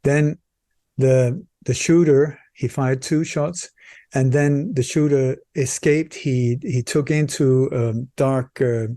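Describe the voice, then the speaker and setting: Mellow-voiced man from Sweden telling a story. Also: medium pitch, steady tone, slow pace, no room sound, studio quality. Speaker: interview guest, researcher and author. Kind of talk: conversational storytelling in an interview.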